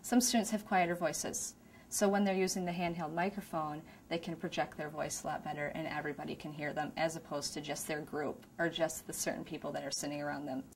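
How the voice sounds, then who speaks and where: A woman's voice: low voice, little pitch movement, fairly quick, vocal fry, a little hiss or hum. A woman who teaches a class, talking sincerely and plainly in a documentary interview.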